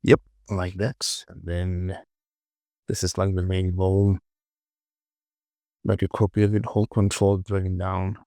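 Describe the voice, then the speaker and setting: A man with a gravelly voice casually narrating a software tutorial, muttering short, repeated phrases to himself as he works. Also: deep voice, little pitch movement, slow pace, long pauses, no echo, clean studio sound. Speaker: tutorial host.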